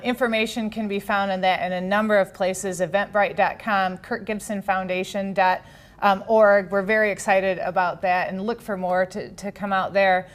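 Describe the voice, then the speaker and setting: A woman hosting an interview for a health insurer, wrapping up in a polished, promotional way. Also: lowish voice.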